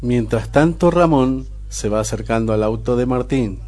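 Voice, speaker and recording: A man narrating a radio play in a slow, solemn storytelling manner. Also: mid-range voice, darkish tone, natural voice. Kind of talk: scripted radio drama narration. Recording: music under the voice.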